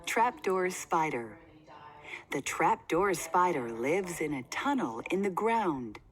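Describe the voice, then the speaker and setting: Slow, expressive woman reading to children. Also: lowish voice. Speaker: read-aloud narrator. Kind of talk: children's book read-aloud. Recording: faint background noise.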